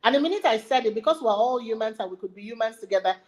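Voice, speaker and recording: A woman's voice: a little high, rapid-fire. Emphatic Nigerian woman motivational speaker. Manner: animated and emphatic, repeats key phrases. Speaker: learning and development speaker. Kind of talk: motivational webinar talk.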